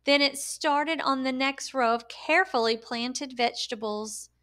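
Slow, high-pitched woman reading a storybook. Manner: animated, deliberate, emphatic storytelling delivery for young listeners. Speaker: storyteller reading a picture book aloud. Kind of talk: expressive children's read-aloud narration.

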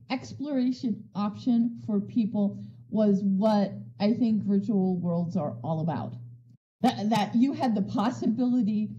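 A woman speaking on a conference panel in a casual, excitable way, restarting her sentences often and laughing. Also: fairly high pitch, muffled recording. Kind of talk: conversational panel talk. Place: virtual world session via voice chat.

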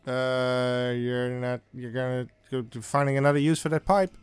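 A man's voice: mid-range voice, fairly even intonation, moderate pace, clean tone, no echo, faint music behind. A man doing casual let's play commentary, reacting and reading game dialogue aloud.

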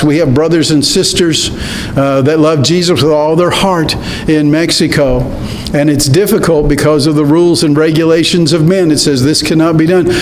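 Older man preaching in a church hall. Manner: earnest preaching, rising to emphatic peaks. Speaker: pastor. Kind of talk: sermon. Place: church sanctuary at a pulpit. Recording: reverberant church hall with faint rumble.

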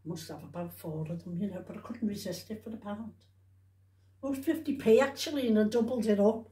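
A woman's voice: mid-range voice, a little fast, mellow. A woman chats casually at home, rambling and repeating herself, often tacking little questions onto the ends of her remarks. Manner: chatty, repetitive, with trailing tag questions. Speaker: vlogger showing shopping haul. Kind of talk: casual rambling chat to camera. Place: indoors at home. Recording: roomy, echoey home recording.

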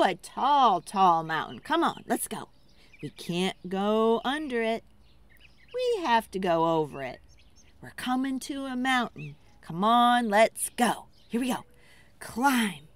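A woman's voice: a little high, no echo. A woman storyteller giving a dramatic, rhythmic picture-book read-aloud for children, theatrical and urging listeners to join in.

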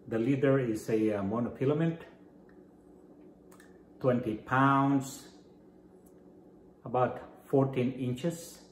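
A middle-aged man calmly walking through his fishing tackle and a recipe, talking matter-of-factly with frequent pauses. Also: medium pitch. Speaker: fishing channel host. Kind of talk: casual fishing and cooking vlog narration. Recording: voice-over with clear guitar music behind.

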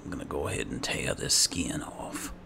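A man with a gravelly voice giving casual, low-key commentary while playing a fantasy game, musing aloud as he goes. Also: expressive, normal speed, noisy background. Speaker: gaming host. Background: game ambience.

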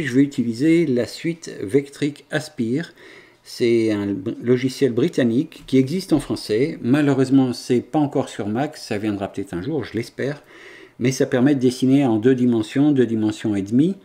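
A man's voice: mid-range voice, dark tone. A man in his forties, a woodworker, explaining his machine setup in a casual, hesitant way with many fillers, recorded in his workshop. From France. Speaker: woodworker and YouTuber. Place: large wood workshop, indoors.

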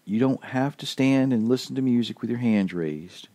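A man's voice: mid-range voice, moderate pace, dark tone, dry recording. A man preaching a casual podcast sermon in an earnest, emphatic way, going back over his key points, his voice a little worn. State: slightly losing his voice.

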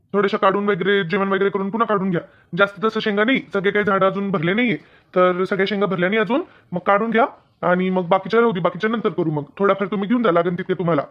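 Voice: mid-range voice, fairly even intonation, fast talker, lo-fi sound, natural voice. A performer voicing a practical, good-natured male farm owner who nags his workers in a comedy cartoon, with a Maharashtrian accent and colloquial delivery. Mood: welcoming, practical. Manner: colloquial, nagging, instructing. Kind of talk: Marathi comedy cartoon dialogue.

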